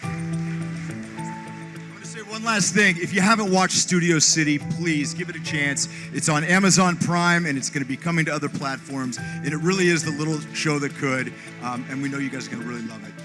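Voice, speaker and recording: Male winner on stage, slightly high register, earnest informal plea, music clearly underneath. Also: brisk. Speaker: award winner promoting the series. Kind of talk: informal acceptance speech. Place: on stage in a theatre. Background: music playing.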